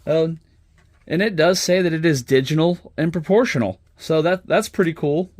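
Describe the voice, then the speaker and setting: A man's voice: on the high side, moderate pace, a little mellow, no echo, studio quality. A man giving a casual, unscripted hobby show-and-tell, thinking aloud as he works, recorded on a smartphone. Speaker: hobbyist presenter. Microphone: smartphone camera at the workbench.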